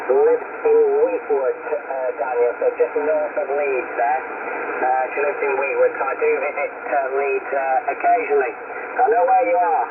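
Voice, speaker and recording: Older man, tinny static-filled radio. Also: medium pitch. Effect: shortwave radio transmission. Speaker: amateur radio operator. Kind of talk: casual amateur radio conversation. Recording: noisy tinny shortwave radio reception. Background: loud radio static.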